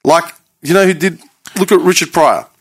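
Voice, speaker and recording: A man's voice: a little high, moderate pace, slightly rough, dry recording, clean studio sound. A man, talking casually and emphatically with a lot of swearing. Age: late 30s. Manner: animated, profane, emphatic. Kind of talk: casual podcast chat.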